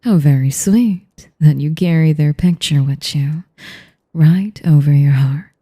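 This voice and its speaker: A woman playing an elegant, manipulative vampire in an intimate audio roleplay, with a whispery voice and a slow, teasing, mock-polite manner. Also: low voice, mellow. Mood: mock tenderness. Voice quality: breathy, whispery. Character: sadistic, elegant, manipulative female vampire noble.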